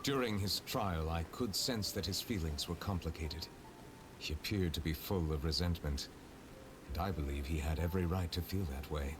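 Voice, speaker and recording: An actor playing a stern, formal male chief justice in a video game, speaking in a measured, solemn way. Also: sits low, noisy background, close to an everyday voice.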